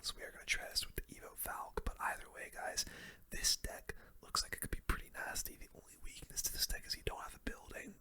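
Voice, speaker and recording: A man whispering casual, slangy gaming commentary in a breathy ASMR style, close to the microphone. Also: pushed and bright. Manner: casual, slangy gamer talk. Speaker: gaming video host.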